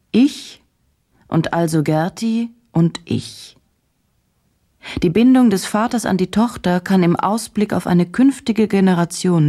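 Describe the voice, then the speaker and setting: An actress playing a driven, troubled woman journalist in a radio play, speaking in a halting, introspective, intense way. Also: warm tone. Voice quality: low, husky. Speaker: radio drama actor. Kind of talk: German radio play, intimate monologue and interview.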